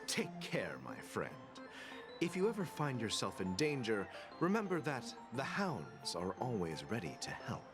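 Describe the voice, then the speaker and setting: An actor playing a friendly, dutiful security officer in a video game, courteous, formal and earnest. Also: male voice, medium pitch, wildly swooping pitch, measured pace. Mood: courteous concern. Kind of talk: scripted video game voice acting. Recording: background music behind the voice.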